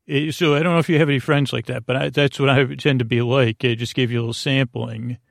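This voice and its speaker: A man with a mid-pitched voice full of vocal fry talks in a very dry space. He hosts a bedtime podcast as a rambling monologue. His delivery meanders and halts, with stammered starts and frequent detours away from his own point. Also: fairly even intonation, no echo. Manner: meandering, halting, stammering with repeated self-interruptions. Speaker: podcast host.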